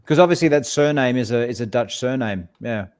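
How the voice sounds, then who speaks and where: A man's voice: medium pitch, lively intonation, brisk, a little mellow, clear, resonant tone. A man with a mid-range voice co-hosts a casual podcast. He sounds friendly and curious and keeps coming back with short follow-up questions. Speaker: podcast co-host.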